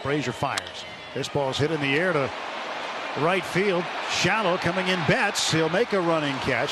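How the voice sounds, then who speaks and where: A man doing live baseball play-by-play on radio from a stadium booth, with colorful, folksy turns of phrase. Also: mid-range voice. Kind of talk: live sports commentary. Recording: loud ballpark crowd and noise. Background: roaring stadium crowd.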